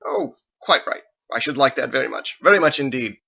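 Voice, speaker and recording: A man's voice: mid-range voice, big pitch swings, fairly quick, gravelly, sharp tone, highs cut off, voice pushed up, heavily acted. A man voicing a pompous, verbose, prim young paladin churchman in an audiobook, with florid, fussy, over-formal diction. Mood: delighted. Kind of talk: audiobook character voice.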